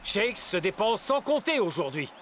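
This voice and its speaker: A man playing a brisk football play-by-play commentator in a French cartoon dub, with a lively announcer's delivery. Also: high voice, music in the background. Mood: upbeat.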